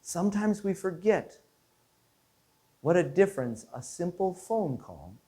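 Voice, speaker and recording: Higher-pitched man, earnest pastor. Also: big pitch swings, a little slow, dark tone. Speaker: Lutheran pastor. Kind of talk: devotional storytelling message.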